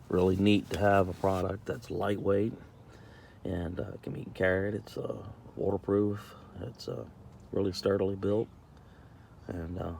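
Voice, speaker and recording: A man giving a casual, plain-spoken product review as a camping vlogger, halting and repeating himself for emphasis. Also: deep voice, creaky. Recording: steady background noise.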